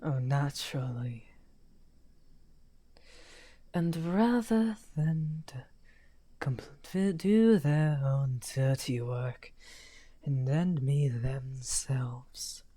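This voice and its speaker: A man playing a misunderstood outcast necromancer wizard, smugly amused and taunting, in an unhurried, breathy, often half-whispered ASMR roleplay. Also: medium pitch, warm tone. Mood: bitter, confiding. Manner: unhurried, taunting, drawn-out phrasing. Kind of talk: slow theatrical ASMR roleplay.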